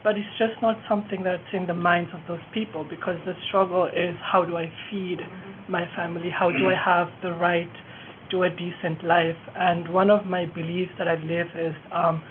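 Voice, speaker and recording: A woman's voice: fairly low pitch, flat delivery, moderate pace. A young woman making an impassioned plea and question as a participant at a roundtable. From Malawi. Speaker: youth participant asking a question. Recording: tinny phone-like audio, faint noise.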